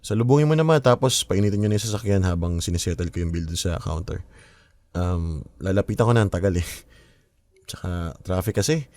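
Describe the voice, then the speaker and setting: A man's voice: low voice, fairly quick, a little mellow, no room sound, studio quality. An actor playing a curious, pushy young man in a radio drama, with an inquisitive, pressing way of talking. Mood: casual. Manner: inquisitive, pressing, casual Taglish.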